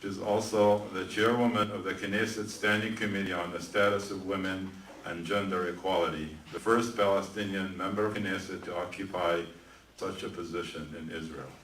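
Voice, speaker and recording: A man making announcements and introducing a speaker at a museum event, halting with many fillers. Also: deep voice, fairly even intonation, fairly bright tone. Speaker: event emcee. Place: museum event room with audience. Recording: echoey room from far off, noisy.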